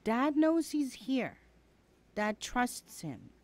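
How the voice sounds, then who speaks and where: A woman's voice: fairly high pitch, big pitch swings, extremely slow, no room sound, full character voice. A woman playing a defiant, protective younger sister in an ASMR roleplay, argumentative in manner. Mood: indignant.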